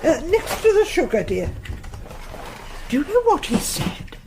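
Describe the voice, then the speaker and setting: High-voiced, lilting genteel elderly widow. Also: female voice, sing-song, measured pace, slight background noise, heavily acted. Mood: chatty. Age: older. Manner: sociable, gently probing, fussing politely. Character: genteel, chatty, curious elderly widow with grandchildren. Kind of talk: radio drama acting.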